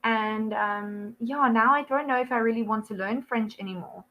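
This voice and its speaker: A young woman with a South African accent talks through an online English lesson over a video call. Her voice sits a little high and she chats freely, laughing often and filling her sentences with ums and likes. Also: moderate pace, muffled recording. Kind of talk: casual one-to-one lesson chat.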